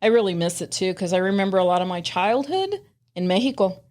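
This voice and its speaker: A woman in her fifties, a podcast guest chatting warmly about her childhood and laughing often. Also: medium pitch, lively intonation, fast. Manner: warm, laughs often while reminiscing. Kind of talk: casual reminiscing chat.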